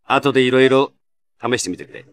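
An actor playing a friendly, earnest masked hero who talks to children like an encouraging big brother in a video game. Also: male voice, mid-range voice, a little fast, dry recording, close to an everyday voice. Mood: congratulating. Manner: clear, emphatic, addressing children directly. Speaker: hero narrator of a card quiz mode. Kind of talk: scripted game dialogue addressed to a young player.

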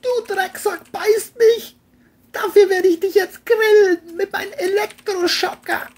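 A woman's voice: very high voice, fairly even intonation, unhurried, a little mellow, pitched above the performer's own voice. A woman voicing an intense, volatile, seductive and menacing woman in a crime audio play. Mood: furious, threatening.

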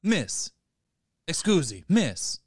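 Casting: man as earnest romantic suitor, theatrical, lively swings in pitch, close dry recording. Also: drawn-out words, slightly rough, no echo, exaggerated voice. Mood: courteous.

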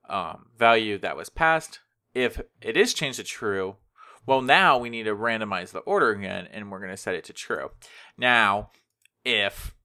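A man's voice: lowish voice, sharp tone, clean tone. A man presenting a coding tutorial in a casual, informal way, talking directly to the viewer as he walks through things. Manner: informal, addresses viewers directly, voices code logic as speech. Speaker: web development tutorial presenter. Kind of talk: casual screencast code walkthrough. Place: voice-over to a screen recording.

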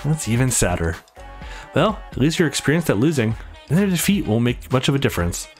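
Game rival: man playing cocky young fighter, mocking, mid-pitched, music underneath. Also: very animated, a little fast, close to an everyday voice. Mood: taunting. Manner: mocking, smug. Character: cocky, taunting young male lightweight fighter.

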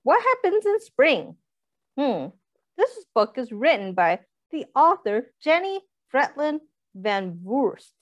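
A young woman reads a picture book to little children in a fairly high, animated voice. She goes slowly and explains simply, like a teacher. The recording is dry and slightly dull, made close up in a small room. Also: no room sound. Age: young adult. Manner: expressive, simple explanatory asides with questions to young listeners. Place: small indoor room, seated.

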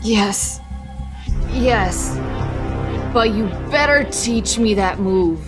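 A woman's voice: medium pitch, drawn-out words. A woman voicing a headstrong, defiant ten-year-old boy sidekick, cocky and argumentative, in a comic-book dub. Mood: cocky, sulky. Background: dramatic music.

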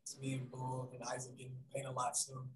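A young man answering post-game press questions in a soft, hesitant way with many pauses and ums, over a video call. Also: medium pitch, completely flat delivery, a crowd in the background. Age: young adult. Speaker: basketball player at press conference. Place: room in front of team backdrop. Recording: roomy video call audio.

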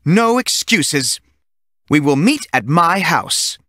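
A man voicing a stern, bony older female teacher who speaks slowly and insistently. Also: fairly high pitch, sing-song, pushed and bright, no echo, studio quality, voice pushed up, exaggerated voice.

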